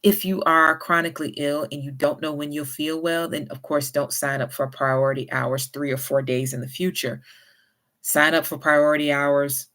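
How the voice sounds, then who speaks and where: Be a low-voiced Southern US woman giving encouraging advice. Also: steady tone, a bit bright. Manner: friendly, encouraging, unhurried speech. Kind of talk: casual advice vlog.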